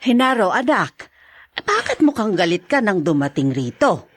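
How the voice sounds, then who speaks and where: Brief: anxious middle-aged mother, lively pitch, dry sound. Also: moderate pace, no echo. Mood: worried. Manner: anxious, pleading. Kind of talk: radio drama acting.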